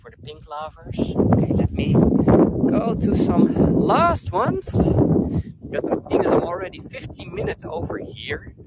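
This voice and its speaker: A man's voice: very high voice, huge pitch swings, unhurried, gravelly. A man with a Dutch accent giving an enthusiastic, chatty live tour of an outdoor flower garden, answering viewer comments as he films with a handheld gimbal. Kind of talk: live-streamed casual tour chat. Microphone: camera on a handheld gimbal. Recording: loud background noise outdoors.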